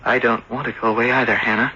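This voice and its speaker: An actor playing an earnest, lovesick young seafarer back home, speaking haltingly in radio drama style. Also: male voice, mid-range voice, fairly even intonation, sharp tone, slightly rough. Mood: hopeful. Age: young adult. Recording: dull, noisy old radio broadcast.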